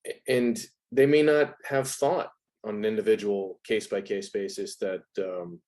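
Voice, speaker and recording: A man's voice: lowish voice, fairly even intonation, normal speed, a little mellow. A man speaking as an interview guest in a casual, analytical chat, reflective and informal, with plenty of "like" and "you know.".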